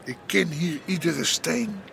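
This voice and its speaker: An actor playing a proud, distraught old underworld boss, muttering and repeating himself in a radio drama. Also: male voice, a little high, takes it slowly, gravelly, fairly bright tone, a little hiss or hum, heavily acted. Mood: dazed, brooding.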